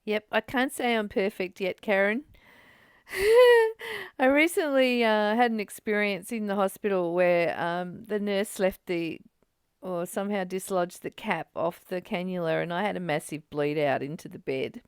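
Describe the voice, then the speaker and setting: A woman's voice: medium pitch, normal speed, clear, resonant tone, no room sound, studio quality. A woman co-hosting a spiritual study group, talking in a casual, reflective, conversational way.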